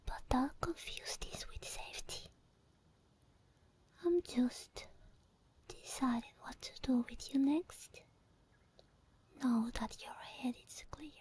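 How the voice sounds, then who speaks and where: A woman playing an obsessed, possessive sleep paralysis demon in an ASMR roleplay, whispering slowly and breathily with coaxing, teasing menace. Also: sits high, a little mellow. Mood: controlling, teasing. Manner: slow, deliberate, coaxing and controlling.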